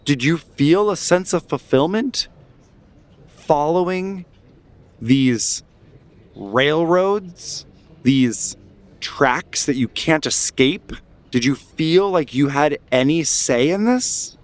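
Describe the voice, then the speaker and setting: A man voicing an earnest adventurer in a tabletop game, rallying a crowd with measured, persuasive oratory. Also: fairly high pitch, lively intonation, voice pushed up. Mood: probing.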